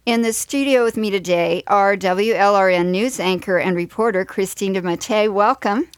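A woman's voice: mid-range voice, normal speed, no room sound. Woman radio host, dry studio sound. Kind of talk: interview and show introduction.

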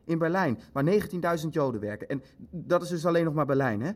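An actor playing a concerned, argumentative Nazi official in a radio play, earnest about wartime figures. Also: male voice, on the high side, expressive, quick pace, natural voice. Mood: concerned, insistent. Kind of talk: Dutch radio play acting.

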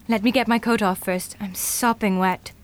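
A woman playing a self-assured, brisk professional futurist in an audio drama, speaking matter-of-factly. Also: medium pitch, fairly even intonation, slight background noise, lightly acted. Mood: wry.

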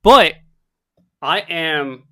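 A young woman co-hosting a casual chat, animated and talkative, often laughing. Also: low-pitched, drawn-out words. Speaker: podcast co-host.

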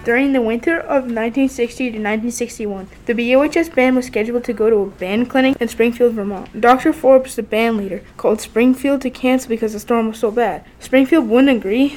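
Higher-voiced teen girl reading aloud. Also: a little fast, few pauses, darkish tone, poor audio. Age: teenager. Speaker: student reader. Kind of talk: reading written memories aloud.

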